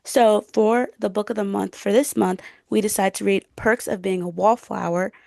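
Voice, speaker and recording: Creaky-voiced woman in casual book chat. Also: medium pitch, steady tone, moderate pace, a little mellow, dry recording. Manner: chatty, informal, emphatic. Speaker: book podcast co-host.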